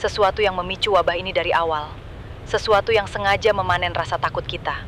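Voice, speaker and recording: Male investigator , actor: grave case log, high register, brisk pace, noisy field tape. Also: fairly even intonation, a bit bright. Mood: grave, ominous. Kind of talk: analog horror field log. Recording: noisy outdoor field recording.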